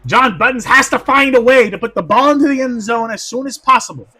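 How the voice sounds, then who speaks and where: A man doing play-by-play football commentary, going hoarse, who swings from quiet asides to shouted excitement. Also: extremely high pitch, brisk.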